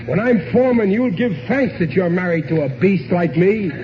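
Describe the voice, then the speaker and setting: A man's voice: high voice, expressive, moderate pace. An actor playing a cocky, dim but big-hearted working-class father in a 1940s radio comedy. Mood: pleading, boastful. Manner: pompous malapropisms, cocky scheming. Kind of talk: 1940s radio sitcom acting. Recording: old muffled radio broadcast, noisy hiss.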